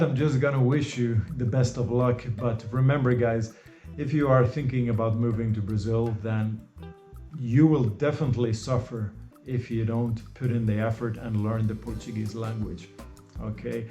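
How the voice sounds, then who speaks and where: Mellow-voiced man. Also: mid-range voice, reverberant. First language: Norwegian. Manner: loose and repetitive, full of "you know" and restarts. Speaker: host. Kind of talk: casual advice monologue.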